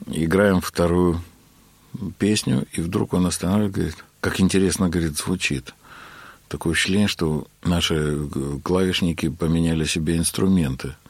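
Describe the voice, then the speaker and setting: A man being interviewed about his work as a keyboard player, telling his story in an unhurried way with many filler words. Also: low-pitched, fairly even intonation, darkish tone, breathy edge, no room sound.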